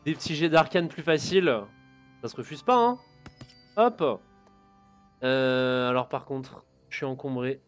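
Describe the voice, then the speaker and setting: A man hosting a let's play, giving relaxed, chatty commentary on his gameplay and talking to himself as he goes. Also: medium pitch, unhurried, long pauses, faint music behind.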